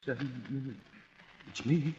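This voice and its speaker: An actor playing a jittery, cowardly dinner guest with a whining, stammering, excitable delivery in an old-time radio drama. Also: male voice, medium pitch, measured pace, slight background noise, natural voice. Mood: panicky. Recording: muffled old radio recording.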